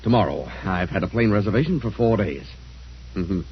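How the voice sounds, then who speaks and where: A low-voiced coaxing wayward brother. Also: male voice, animated, brisk, husky. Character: smooth-talking wayward gambler brother, coaxing. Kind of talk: old-time radio drama acting. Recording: muffled, hissy old radio recording.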